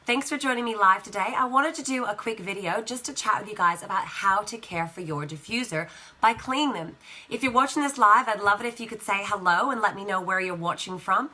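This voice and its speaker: A woman with a croaky, partly lost voice, chatting casually as she hosts a live how-to demonstration and greets viewers. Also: medium pitch, brisk, bright, forceful tone. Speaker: essential oil educator hosting a live video.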